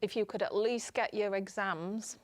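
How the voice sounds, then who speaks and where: A woman's voice: mid-range voice, steady tone, normal speed, no echo. Middle-aged woman, Northern English accent, casual storyteller, self-deprecating, chatty, dry sound. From northern England. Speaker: podcast guest, public speaker.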